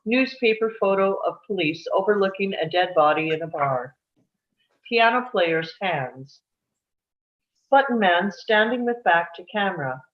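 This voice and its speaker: A woman's voice: medium pitch, expressive, unhurried, muffled recording. A woman reading screenplay stage directions aloud as scripted narration at a table read.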